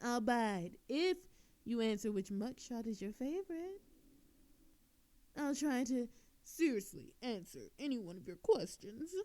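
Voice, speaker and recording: A woman's voice: a little high, very animated, unhurried, mellow. A woman playing an obnoxiously flirtatious, sarcastic female thief in a scripted audio roleplay, teasing coyly and theatrically. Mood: teasing, flirty.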